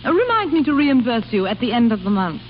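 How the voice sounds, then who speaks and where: An actress playing an imperious, dryly witty grand duchess in crisp, commanding 1930s radio comedy style. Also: female voice, a little high, big pitch swings, quick pace. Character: haughty, imperious grand duchess with dry wit. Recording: dull old radio broadcast, reverberant, hissy.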